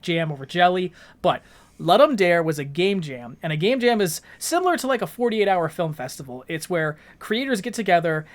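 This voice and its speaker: A man co-hosting a podcast, explaining things from his notes in an articulate, measured, conversational way. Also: a little high. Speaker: podcast co-host.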